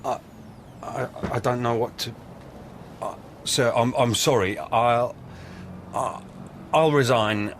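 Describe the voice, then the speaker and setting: A man's voice: mid-range voice, slow, pauses often, natural voice. An actor playing an earnest, uneasy police sergeant who defers to his boss in a radio drama. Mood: flustered. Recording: clearly noisy background ambience.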